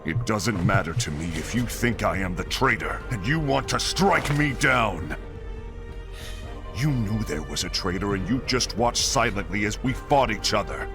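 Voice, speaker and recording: A man's voice: on the low side, animated, moderate pace. A man playing a proud, bitter, betrayed warrior with brooding intensity in a dramatic game dub. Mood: bitter anger. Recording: music clearly behind voice.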